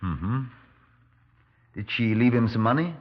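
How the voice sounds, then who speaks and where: An actor playing a probing, impatient police superintendent, clipped and questioning, in 1950s radio drama style. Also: male voice, medium pitch, animated, a little slow. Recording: dull old 1950s radio recording.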